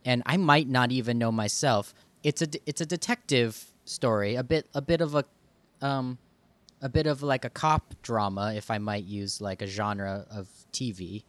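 A man hosting a podcast in casual interview chat, with tentative, halting phrasing. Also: medium pitch, steady tone, a bit bright, no room sound. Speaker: podcast host.

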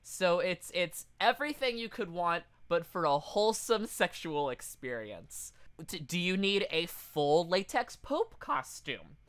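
Bright, clear-voiced man bantering on a podcast. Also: sits high, big pitch swings, measured pace. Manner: informal, joking patter. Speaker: podcast co-host. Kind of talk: casual comedic banter, mock ad read.

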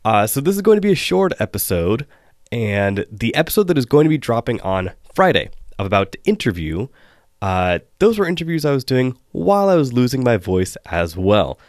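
A man hosting a solo podcast, hoarse and losing his voice, chatting with wry asides. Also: medium pitch, very animated, normal speed, a little mellow, no room sound, studio quality. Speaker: podcast host.

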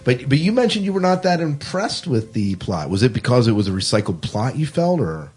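A man's voice: medium pitch, very animated, fairly quick, a little mellow, soft background music. A man hosting a casual film discussion, repeating words as he steers the conversation along. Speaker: podcast host.

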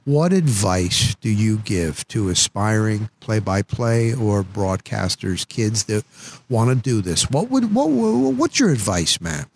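A man's voice: lowish voice, big pitch swings, a little slow, gravelly. A middle-aged American man from New Jersey hosting a podcast in casual, effusive talk, often repeating phrases for emphasis. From New Jersey, United States. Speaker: podcast host.

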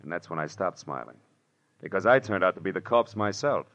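Low-voiced hard-boiled private detective. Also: male voice, lively intonation, fairly quick, fairly bright tone. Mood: sardonic narration. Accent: American. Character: hard-boiled male private detective, shrewd, world-weary, sardonic. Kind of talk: 1940s radio detective drama acting and narration. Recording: muffled old radio broadcast, music behind.

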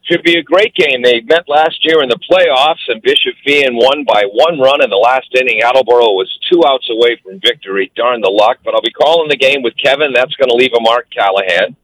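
A man's voice: mid-range voice, brisk, few pauses, fairly bright tone, a little hiss or hum, low-quality recording. A man in his sixties, a sports broadcaster and radio host, chatting casually with a wry, joking manner. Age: 60s. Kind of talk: casual chat.

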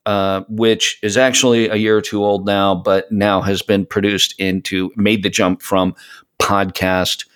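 A man's voice: fairly low pitch, level tone, unhurried, a bit bright, clear, resonant tone. A man in casual podcast chat, giving recommendations in a rambling way as he searches for his words. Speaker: podcast guest.

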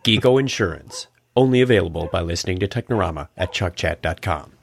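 A man playing a brisk, official announcer in a parody insurance commercial. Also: sits low, expressive, creaky, over music.

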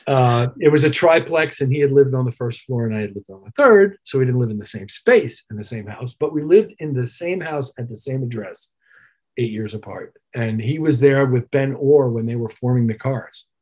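Husky man telling stories. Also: medium pitch, expressive, normal speed, darkish tone, low-quality recording. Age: middle-aged or older. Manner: reflective, detailed, acts out remembered dialogue. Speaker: musician and producer, interview guest. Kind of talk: casual anecdotal storytelling.

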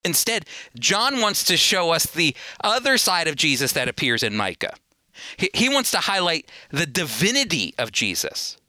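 A man's voice: on the high side, sing-song, normal speed, pushed and bright, no room sound. A man teaching the Bible in an emphatic sermon style, repeating phrases for stress as he explains and reads scripture. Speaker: Bible teacher and show host.

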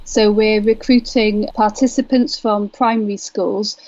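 A woman's voice: medium pitch, steady tone, a little slow, darkish tone, low-quality recording. A woman from Wales explaining research conversationally as an interview guest. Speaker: education researcher being interviewed.

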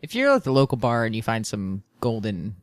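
A man's voice: fairly low pitch, wildly swooping pitch, brisk, no echo. A man from Philadelphia guesting on a podcast, tossing in dry, wry quips. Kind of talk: casual crude banter.

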